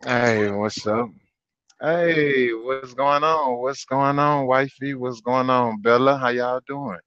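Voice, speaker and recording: A man, talking in a relaxed, easygoing way as a streamer who drives a truck. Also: mid-range voice, slow pace, no echo, dull audio. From Houston, Texas. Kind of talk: casual chat.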